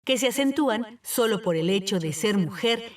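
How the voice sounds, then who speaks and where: A woman announcer reading scripted segment narration with a clear cadence. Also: mid-range voice, lively intonation, normal speed. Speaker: program announcer. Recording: distant voice over music.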